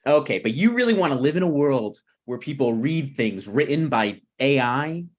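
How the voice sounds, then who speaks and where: A man's voice: medium pitch, lively intonation, normal speed, lightly acted. A man in his thirties at a script table read, playing a needy, prickly struggling screenwriter who talks in a pressing, conversational way. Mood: accusing. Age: 30s.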